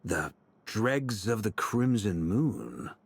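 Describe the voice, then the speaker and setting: An actor playing an easygoing, shrewd knight commander in a video game, talking in a wry, casual way. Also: male voice, on the low side, expressive, takes it slowly, slight background noise. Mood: curious, easygoing. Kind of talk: video game character acting. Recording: faint background music.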